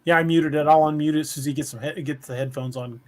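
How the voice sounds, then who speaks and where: A man co-hosting a livestream in casual chat, with a slightly husky voice and a halting way of repeating words as he explains. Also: a little high, steady tone, darkish tone. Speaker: podcast livestream co-host.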